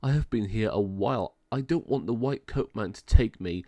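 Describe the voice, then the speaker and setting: A man's voice: lowish voice, moderate pace, mellow, dry recording, close to an everyday voice. A man voicing a wistful, abandoned family dog in a story, speaking earnestly and plaintively. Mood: anxious.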